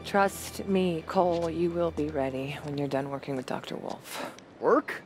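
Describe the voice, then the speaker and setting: Scripted dialogue from a video game cutscene, several characters in cinematic delivery. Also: male voice, on the high side, a little slow, faint music behind, close to an everyday voice. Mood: in-game scene. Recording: game audio heard from a distance.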